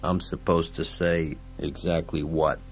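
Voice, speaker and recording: A man voicing a stern, weary lawman in an audiobook, curt, gruff and deadpan, hard-boiled and unbending. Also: rumbling low, a little slow, a little hiss or hum, rough recording. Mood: weary, dry. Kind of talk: dramatised audiobook science-fiction dialogue.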